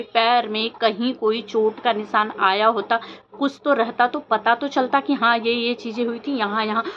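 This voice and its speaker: A woman vlogger giving informal opinion commentary, questioning insistently and repeating her points for emphasis. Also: on the high side. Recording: dull lo-fi audio with faint noise.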